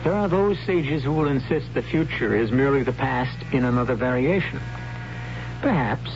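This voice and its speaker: Portentous male radio host, animated pitch. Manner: portentous, deliberate. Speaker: host and narrator. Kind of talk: dramatic old-time radio narration. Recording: muffled, noisy old radio broadcast with hum.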